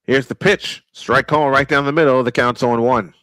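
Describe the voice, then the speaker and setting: Man, baseball announcer, old-school play-by-play, playful wordplay, mid-pitched, dry close sound. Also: fairly even intonation, moderate pace, bright, forceful tone, dry recording. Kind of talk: live baseball commentary.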